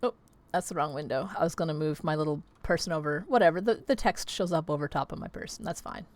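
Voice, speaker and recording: A woman's voice: sits low, quick pace, darkish tone, dry recording. A young woman streaming from home, chatting casually with viewers in a rambling way, her voice a little scratchy today. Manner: rambling, filler-heavy, chatty. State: slightly scratchy voice. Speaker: livestreamer. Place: home streaming setup with green screen.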